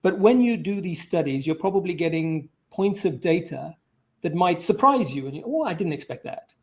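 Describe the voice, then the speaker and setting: A man's voice: high-pitched, animated, normal speed. A man hosting an interview, posing questions and commenting conversationally in wordy, reflective stretches. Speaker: interviewer, host.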